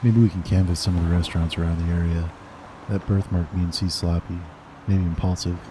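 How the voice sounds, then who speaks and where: An actor playing a blunt, profane lead detective giving orders in an audio drama. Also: male voice, deep voice, fairly even intonation, a little fast, noisy background, natural voice. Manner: blunt, swearing, commanding.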